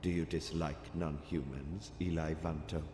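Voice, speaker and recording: An actor playing a calm, calculating alien officer in an audio drama, speaking formally and precisely. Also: male voice, rumbling low, a little slow, noisy background. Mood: cool, composed. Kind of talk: audio comic drama acting. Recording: long reverb, music behind.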